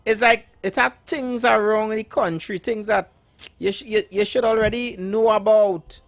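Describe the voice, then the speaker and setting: A man with a Trinidadian accent co-hosting a radio talk show, talking haltingly and pressing his question again and again. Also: sits high, fairly even intonation. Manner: hesitant, many fillers and restarts, presses a question. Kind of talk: casual radio chat.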